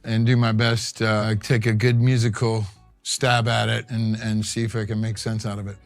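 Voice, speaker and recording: A man's voice: on the low side, level tone, normal speed, soft background music. A man, a guest drummer, bantering bluntly and swearing in a casual video.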